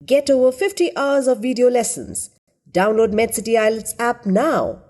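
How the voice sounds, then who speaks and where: A woman reading a scripted promotional ad in an upbeat way. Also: moderate pace. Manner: upbeat, lively pitch. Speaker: ad voice-over. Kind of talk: promotional ad read.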